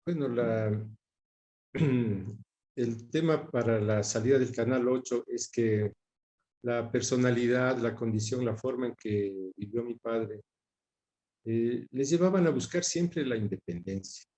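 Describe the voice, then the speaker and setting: A man in his sixties with a Quito, Ecuadorian accent, talking reflectively as an interview guest, measured, pausing and repeating words as he searches for them. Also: medium pitch, dark tone. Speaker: interview guest recalling his father's career.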